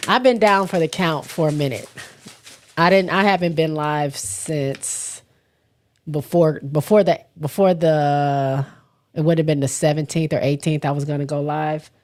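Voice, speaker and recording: A woman with a cold hosting a casual livestream, her voice raspy and stuffy, talking haltingly and stopping often to clear her throat. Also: deep voice, fairly even intonation, darkish tone. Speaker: livestream host. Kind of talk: casual livestream chat, reading viewer comments.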